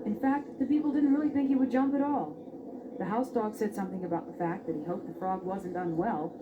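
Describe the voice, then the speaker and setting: A woman's voice: medium pitch, brisk. A woman narrating a scripted fairy tale expressively as a storyteller. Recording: dull, noisy old record.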